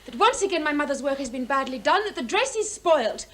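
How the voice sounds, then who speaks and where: A woman's voice: high voice, big pitch swings, brisk, a bit bright. An actress playing an impetuous, scornful, defiant young woman in a radio drama, with intense, declamatory delivery. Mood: impatient. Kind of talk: theatrical radio drama acting.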